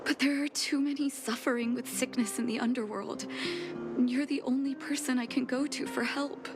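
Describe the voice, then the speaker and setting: An earnest young doctor. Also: female voice, sits high, steady tone, moderate pace. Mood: emotional. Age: young adult. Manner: gentle, heartfelt. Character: devoted young woman doctor, gentle and earnest. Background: game music.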